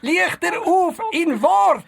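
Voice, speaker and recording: A man's voice: very high-pitched, expressive, slow, vocal fry, fairly bright tone, exaggerated voice. A man playing a cocky, talkative police detective in an audio drama, with a Swiss German accent and a rambling, self-important manner. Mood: bossy, impatient. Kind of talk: amateur audio drama acting.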